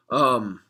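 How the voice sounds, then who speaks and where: A young man with a hoarse voice, talking casually to camera in a reaction vlog, rambling, clearing his throat often. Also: mid-range voice, pushed and bright. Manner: rambling, frequent throat clearing and filler words. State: hoarse from screaming. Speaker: MMA fan vlogger.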